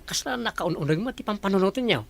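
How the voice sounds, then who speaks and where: A performer acting a teasing, nosy office co-worker with a creaky voice in an Ilocano audio drama. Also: medium pitch, expressive, fast.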